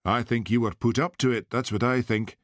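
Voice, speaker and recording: A man's voice: mid-range voice, a little fast, no echo, voice pushed up, close to an everyday voice. A man voicing a shrewd, skeptical policeman who asks dry, pointed questions. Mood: probing.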